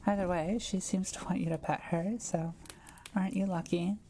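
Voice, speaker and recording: Kind forest witch played by a woman, intimate roleplay; soft mellow tone, hesitant and gentle, faint noise behind the voice. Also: lowish voice, fairly even intonation, normal speed, lightly acted. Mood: gently surprised, flustered. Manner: gentle, hesitant, softly teasing. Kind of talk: intimate audio roleplay, speaking to the listener.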